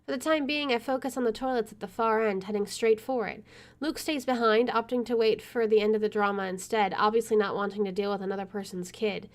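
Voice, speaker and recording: A woman's voice: medium pitch, fairly even intonation, a little fast. A woman hosting a gaming video, reading visual novel narration aloud with casual asides.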